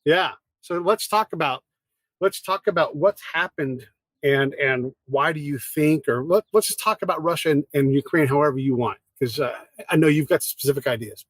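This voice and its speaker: A man hosting a podcast, talking in a casual, energetic, conversational way. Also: a little high, normal speed, no echo. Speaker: podcast host.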